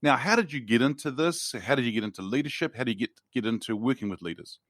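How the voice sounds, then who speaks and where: A man's voice: mid-range voice, lively intonation, fast, fairly bright tone, dry recording, clean studio sound. A man hosting a podcast interview, welcoming his guest with upbeat enthusiasm over a remote call. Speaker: podcast host. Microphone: remote call recording.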